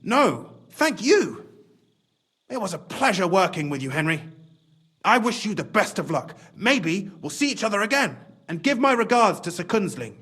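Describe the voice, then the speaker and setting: A scheming, excitable game official with a high voice that swings dramatically. He talks in wordy, exclamatory bursts with a conspiratorial, pragmatic air. The tone is husky, over faint music. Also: moderate pace, full character voice. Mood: pleased. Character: cunning, excitable scheming male mine official, smugly pragmatic. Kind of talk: video game cutscene acting.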